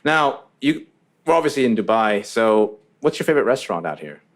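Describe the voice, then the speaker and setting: A man's voice: fairly low pitch, very animated, a little fast. A man hosting a podcast in a music studio, upbeat and chatty, often repeating words and restarting his sentences. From Mauritius. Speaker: podcast host. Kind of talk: casual podcast interview.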